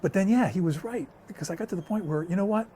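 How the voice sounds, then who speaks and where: A man's voice: high-pitched, quick pace, slight background noise. A man from the United States, a guest telling stories in a casual conversation. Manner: storytelling, animated and talkative.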